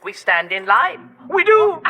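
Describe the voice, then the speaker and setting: An actor playing a simple, eager alien sidekick in a sci-fi radio comedy, over a noisy background. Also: male voice, sits high, lo-fi sound.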